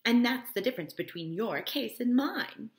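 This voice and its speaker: A woman's voice: a little high, lively intonation, normal speed, pitched above the performer's own voice. A woman voicing a brash, scornful married woman in a novel read-aloud, with an emphatic, indignant way of talking. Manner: emphatic, incredulous, declaring.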